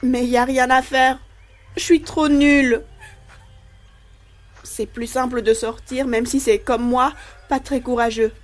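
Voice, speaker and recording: Woman playing a slow, high-voiced, frightened child. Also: steady tone. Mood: ashamed. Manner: confessional, self-deprecating. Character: ashamed, frightened young village boy who wets the bed. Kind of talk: dramatic monologue in an audio play.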